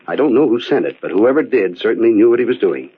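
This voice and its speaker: An actor playing an earnest, bookish bird enthusiast in a radio drama, pedantic and eager with small hesitations. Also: male voice, lowish voice, big pitch swings, fast, breathy edge. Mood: eager, absorbed. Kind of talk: old-time radio drama acting. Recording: tinny, narrow-band old radio recording.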